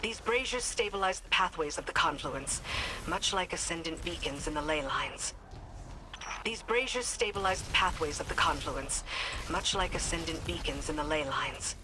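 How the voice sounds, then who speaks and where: A woman's voice: low voice, normal speed, lightly acted. A woman playing a stern, guarded, loyal royal warrior in a video game, firm and serious in delivery. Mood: grave, urgent. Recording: game ambience and noise behind voice.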